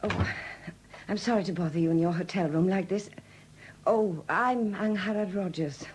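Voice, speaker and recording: An actress playing an anxious, apologetic woman who speaks haltingly in a 1970s radio drama. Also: medium pitch, a little mellow, slight background noise, natural voice. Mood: worried, hesitant. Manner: hesitant, faltering, apologetic. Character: anxious, hesitant Welsh woman, troubled and polite.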